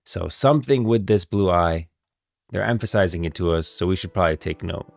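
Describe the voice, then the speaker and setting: A man's voice: low voice, a little fast, gravelly. A man doing casual live-stream commentary, talking in an emphatic, repetitive, slangy way.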